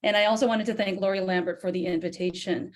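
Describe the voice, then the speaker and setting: A hoarse woman on a video call, originally from Japan, introducing a guest and asking polite, thoughtful questions in an academic book talk. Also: mid-range voice, fairly even intonation, quick pace. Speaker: discussant.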